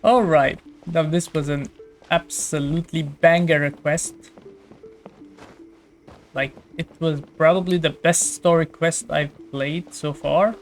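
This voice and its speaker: A woman's voice: sits low, fairly even intonation, slow. Casual woman gamer talking over music. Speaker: gaming streamer. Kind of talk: casual game playthrough commentary. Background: game music.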